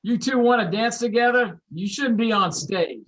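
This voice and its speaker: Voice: medium pitch, moderate pace, a bit bright, close to an everyday voice, poor audio. A performer voicing a brash, chatty man with a Philadelphia accent. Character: brash middle-aged man from Philly.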